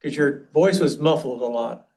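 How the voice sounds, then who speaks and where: A man's voice: mid-range voice, moderate pace, vocal fry, darkish tone. Man leading an informal committee meeting. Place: council meeting room.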